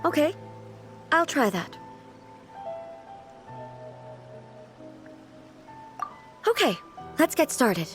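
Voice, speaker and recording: A woman playing a dignified, earnest, contemplative female ruler in a video game, speaking in a formal, measured, naive manner. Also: sits high, very animated. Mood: puzzled, self-conscious. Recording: background music under the voice. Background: game music.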